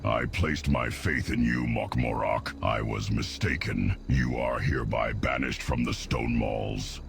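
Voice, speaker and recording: An actor playing a gruff, stoic half-ogre warrior in a video game, terse and blunt. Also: male voice, a bass voice, steady tone, unhurried, heavily acted. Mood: stern. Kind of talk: video game character acting. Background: music.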